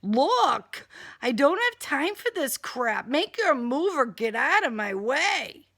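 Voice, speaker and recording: A woman's voice: sits high, big pitch swings, takes it slowly, fairly bright tone, voice pushed up, heavily acted. A woman voicing a tough, sarcastic young female cat burglar in an audiobook, slangy and defiant. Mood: fed up.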